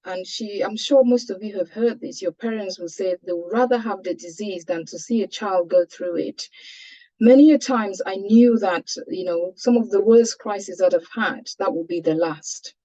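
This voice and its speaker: A woman with a Cameroonian accent speaks in a soft-edged, mellow tone at a middle pitch. She presents personal experience to a webinar in an earnest, measured way. The audio is slightly muffled, like an online call. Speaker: panelist sharing a patient's experience.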